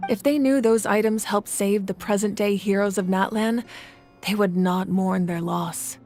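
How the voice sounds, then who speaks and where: A woman acting as a wise female warrior leader in a video game. She speaks at a middle pitch with calm authority, choosing formal words and measured phrasing. Soft music plays behind her. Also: close to an everyday voice. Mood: reassuring, solemn.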